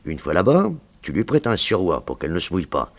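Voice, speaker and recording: An actor playing a vengeful, scheming gangster in a 1960s French radio crime drama, speaking in a deliberate, insinuating way. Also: male voice, low-pitched, sing-song, heavily acted. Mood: scheming, gloating.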